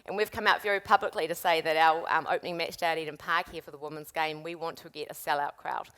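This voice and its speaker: A woman in her forties with a New Zealand accent, speaking on a panel as a sports event executive, brisk and upbeat. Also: deep voice, fairly even intonation, fairly bright tone. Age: 40s. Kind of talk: panel discussion.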